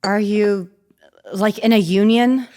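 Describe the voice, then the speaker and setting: A woman's voice: medium pitch, steady tone, takes it slowly, a little mellow, close to an everyday voice. A woman playing an inquisitive, easily indignant teenage girl in a comedy horror audio play, with incredulous questioning delivery. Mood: curious.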